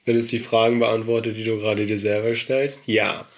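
A man's voice: lowish voice, little pitch movement, fairly quick. Blunt, laid-back man giving advice. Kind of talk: casual chat.

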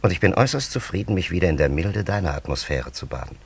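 An actor playing a dignified, pleasure-loving time traveller from an ancient land in a radio drama, with a gravelly, husky voice and lofty, formal diction. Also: male voice, sits low, sing-song, fast talker, exaggerated voice. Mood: lofty, self-satisfied. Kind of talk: German radio drama acting.

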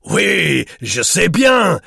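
Jolly stout gentleman played by a man, middle-aged, raised pitch, very animated, clipped delivery, storybook character. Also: vocal fry, slightly rough, dry recording, clean studio sound, full character voice. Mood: hilarious. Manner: clipped, exclamatory French and halting English. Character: beaming fat middle-aged Swiss man, hilarious, mock-grave.

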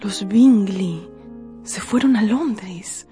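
A woman playing a gentle, sensitive young gentlewoman in a radio drama, plaintive and soft-hearted. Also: medium pitch, very slow, soft background music. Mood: dejected.

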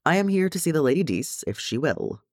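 A man voicing a good-natured young dwarf in an audiobook story, courteous, calm and quietly insistent. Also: fairly high pitch, brisk, dry recording, clean studio sound, voice pushed up. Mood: polite.

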